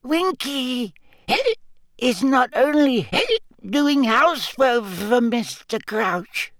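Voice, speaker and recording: A man voicing a drunken, tearful little female house-elf who squeaks, coughs and hiccups as she talks. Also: animated, extremely slow, fairly bright tone, no room sound, studio quality, heavily acted.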